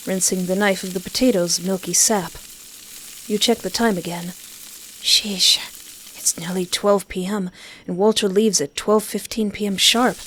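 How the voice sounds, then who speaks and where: A woman's voice: medium pitch, a little hiss or hum, poor audio. A woman reading a visual novel aloud expressively, with casual reactions of her own. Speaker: game player reading and reacting. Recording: music behind the voice.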